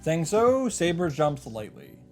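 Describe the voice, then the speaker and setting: A man's voice: on the high side, wildly swooping pitch, a little slow, darkish tone, soft background music. A man streaming a visual novel, reading the narration aloud and adding casual asides of his own.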